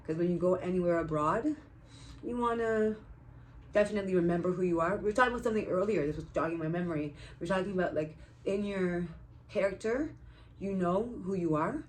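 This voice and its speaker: A woman's voice: on the low side, brisk, slight background noise, low-quality recording. A woman from Canada chatting casually and rambling a little as she co-reviews a movie in a vlog.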